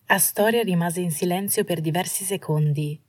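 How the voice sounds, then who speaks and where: A woman's voice: fairly low pitch, moderate pace, no echo. A woman reading a scripted story as an audiobook narrator.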